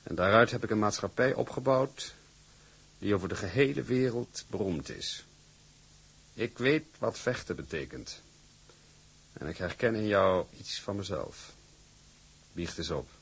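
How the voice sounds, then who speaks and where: Shrewd older tycoon, low voice, animated intonation, patronising, deliberate, television drama. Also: male voice, heavily acted. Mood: stern, probing. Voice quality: gravelly, husky. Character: shrewd, imperious older dredging company boss.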